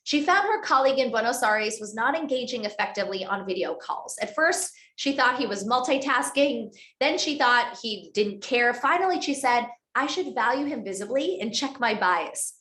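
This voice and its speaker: A woman author giving a structured webinar talk on a video call, speaking in clear list-like phrases. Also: fairly high pitch, animated, normal speed, few pauses, fairly bright tone. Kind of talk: webinar presentation. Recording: roomy echoey sound.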